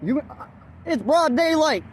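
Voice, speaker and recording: Defiant high-voiced auditor on a roadside. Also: female voice, lively intonation, slow, low-quality recording. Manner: defiant, lecturing, with forced laughs. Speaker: first amendment auditor. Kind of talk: confrontational street recording. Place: outdoors at a roadside traffic stop. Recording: faint outdoor traffic noise.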